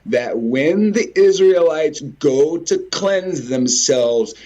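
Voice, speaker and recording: A man giving informal, rambling commentary in a halting way, repeating words and swearing mildly now and then. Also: a little high, animated, darkish tone, dull audio. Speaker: video presenter. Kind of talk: informal rambling commentary over on-screen images.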